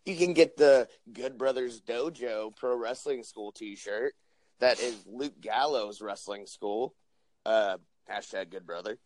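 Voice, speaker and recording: Hoarse man plugging his podcast. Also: medium pitch, slow pace, darkish tone, no echo, poor audio. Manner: joking, profane, rambling plugs. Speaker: podcast co-host. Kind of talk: casual chat.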